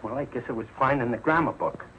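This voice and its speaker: A man's voice: medium pitch, fast, lightly acted. A man playing a bumbling, evasive working-class husband and father in a 1940s television comedy, blustering and sheepish, with sloppy grammar. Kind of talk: 1940s television sitcom acting. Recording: muffled, noisy old 1940s TV soundtrack.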